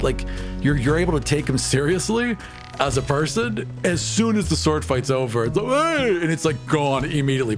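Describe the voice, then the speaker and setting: A man running a tabletop game as game master, narrating scenes in a dramatic, hushed way and then joking with the players. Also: on the high side.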